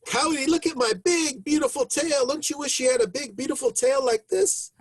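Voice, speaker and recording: A man's voice: very high-pitched, lively intonation, normal speed, creaky, no room sound, exaggerated voice. A man voicing a boastful bear with a bragging, sing-song way of talking in an oral animal folktale. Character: boastful bear, proud of his big beautiful tail.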